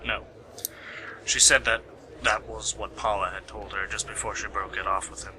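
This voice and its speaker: A man's voice: on the low side, flat delivery, moderate pace, sharp tone, low-quality recording. A man playing a matter-of-fact, mildly teasing police colleague in an amateur radio play.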